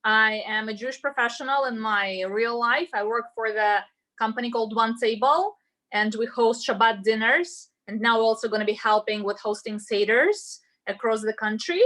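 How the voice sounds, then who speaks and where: Home cook, woman with Russian accent, fairly high-pitched and bright, chatty and explanatory, casual demo delivery. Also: moderate pace. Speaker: home cook leading an online cooking class. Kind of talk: casual cooking demonstration. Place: indoors at home, cooking. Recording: roomy, echoey home sound.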